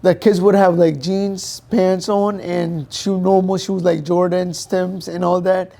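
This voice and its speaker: A man's voice: high voice, level tone, unhurried, gravelly, dark tone. A young man from Pakistan, whose first language is Urdu, answering interview questions as a guest in a plain, unpolished way with plenty of fillers. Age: young adult. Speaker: guest, professional boxer.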